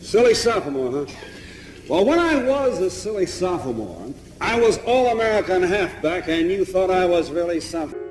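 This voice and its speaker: An actor playing a boastful, bantering former college athlete in a screen drama. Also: male voice, high voice, sing-song, normal speed, a little mellow. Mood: teasing. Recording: echoey hall, far from mic, faint noise.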